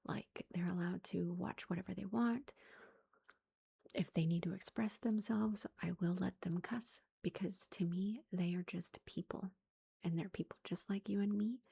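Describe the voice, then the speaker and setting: A woman in her thirties, a mother, telling a story in a soft whisper, chatty and amused. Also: fairly low pitch, fairly even intonation, moderate pace, vocal fry, slightly rough.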